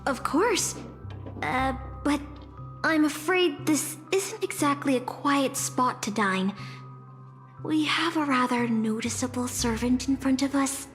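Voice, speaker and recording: An actress playing a composed, wary female protector in a video game, with measured and formal politeness. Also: high-pitched, lively intonation, a bit bright, heavily acted. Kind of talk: scripted video game dialogue. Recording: reverberant game audio with music.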